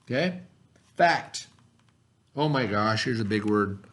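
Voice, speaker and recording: A man teaching by reading aloud to pupils, pausing to explain in casual asides and check that they follow. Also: fairly low pitch, expressive. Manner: pauses to explain, checks in with "okay?".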